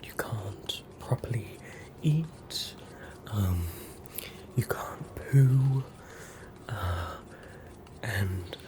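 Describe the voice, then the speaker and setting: Aussie woman doing a fussy polite lady ghost w australian accent, whispery asmr, real slow, quaint old timey wording. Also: extremely low pitch. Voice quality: breathy whisper. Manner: quaint old-fashioned wording, hesitant, apologetic. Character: fussy, polite female ghost haunting a hospital bed. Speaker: ASMR performer.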